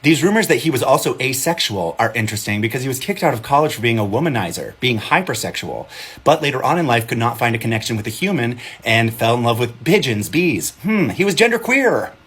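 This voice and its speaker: A man's voice: mid-range voice, sing-song, brisk, a bit bright, slightly rough. A genderqueer man, a content creator, talks to camera in a sassy, emphatic, lecturing way. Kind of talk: casual talk to camera.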